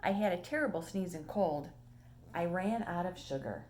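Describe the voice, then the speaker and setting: A woman reading a children's storybook as an indignant male wolf telling his side in a conversational way with dramatic pauses. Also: on the low side, expressive, darkish tone, a little hiss or hum, natural voice. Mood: self-justifying. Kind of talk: animated children's storybook read-aloud.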